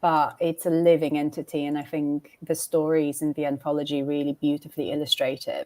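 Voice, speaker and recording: Lower-voiced woman asking rambling questions. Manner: rambling, hesitant, many "like" fillers. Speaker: interviewer. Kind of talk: livestream conversation.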